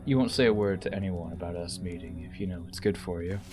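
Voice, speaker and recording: An actor playing a smug, menacing blackmailer in an audio drama, controlled and threatening. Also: male voice, low-pitched, quick pace, close to an everyday voice. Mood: quietly threatening. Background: prominent music.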